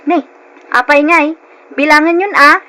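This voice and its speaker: An actress playing a grasping, insistent sister-in-law who makes a show of sympathy, in an old-time Ilocano radio drama. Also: female voice, high voice, fairly even intonation, normal speed, sharp tone. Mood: calculating.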